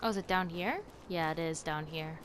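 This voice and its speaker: Low-pitched woman streamer, casual. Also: normal speed, noisy background. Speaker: streamer reacting to a game. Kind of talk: casual gameplay reaction commentary. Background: game music.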